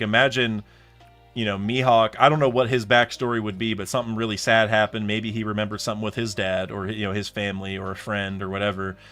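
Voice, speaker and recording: A man's voice: lowish voice, a bit bright. Rambling man musing on a live stream. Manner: rambling, thinks aloud with many restarts and fillers. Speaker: streamer reacting to a comic chapter. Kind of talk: casual live stream chat.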